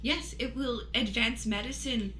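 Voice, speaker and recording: A woman's voice: fairly high pitch, a little slow, bright, forceful tone, roomy sound, soft background music. A woman playing an obsessed male mad scientist, matter-of-fact and blithely menacing, in an improvised comic radio play.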